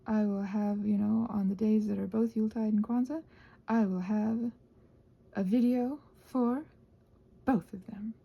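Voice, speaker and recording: A woman rambling casually as a video host, thinking aloud and trailing off, her voice husky and breathy and often sinking toward a whisper. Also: mid-range voice, fairly even intonation, a little slow, highs cut off. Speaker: video host and ASMR creator. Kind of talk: casual rambling craft chat.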